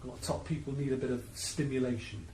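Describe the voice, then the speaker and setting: A man's voice: mid-range voice, steady tone, fast talker, mellow, reverberant, a little hiss or hum, natural voice, rough recording. A man playing a smug sneering drug dealer. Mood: boastful. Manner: sneering, coolly threatening. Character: smug male cocaine dealer, menacing and cool. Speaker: villain in radio play. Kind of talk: 1980s radio drama acting.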